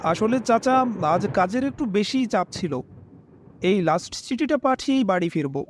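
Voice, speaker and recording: Casting: young man, high lively voice, polite first-person narrator for dramatised animated horror. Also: moderate pace, slight background noise.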